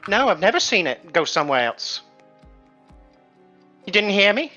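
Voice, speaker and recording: Tabletop game role: excitable street kid voiced by a man, lifted pitch, rambling, earnest and gullible. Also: moderate pace, highs cut off, faint music behind, heavily acted. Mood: insistent. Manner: rambling, repeating himself eagerly. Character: excitable, gullible street boy spreading spooky rumours.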